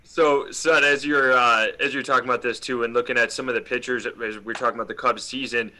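A man's voice: medium pitch, steady tone, brisk, sharp tone. A man co-hosting a podcast, asking questions in a casual sports interview style.

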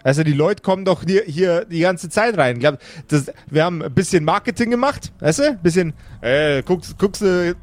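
A man's voice: high-pitched, animated, normal speed, hardly any pauses. A man playing a chatty, irritable necromancer in improvised tabletop role-play, rambling and repeating himself in crude slang. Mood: boastful, rambling. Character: chatty, brash, irritable necromancer running a business.

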